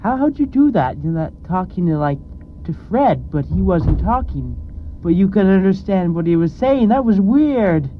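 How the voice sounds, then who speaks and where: An actor playing a dopey, excitable young male band mate in a radio sketch. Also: high voice, moderate pace, background noise. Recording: muffled old radio recording.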